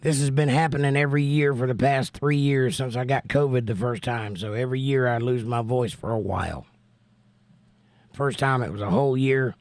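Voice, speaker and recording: An American man hosting a casual livestream and reading out viewer comments, hoarse from laryngitis, folksy and joking with preachy asides. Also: mid-range voice, steady tone, moderate pace, no room sound. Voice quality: hoarse, strained. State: laryngitis, losing his voice. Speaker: livestream host.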